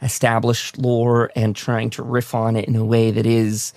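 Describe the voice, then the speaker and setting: A man's voice: medium pitch, completely flat delivery, a little slow, creaky, darkish tone, dry recording, studio quality. A man hosting a podcast interview in a casual, wordy, encouraging and enthusiastic way. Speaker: podcast host interviewing a guest.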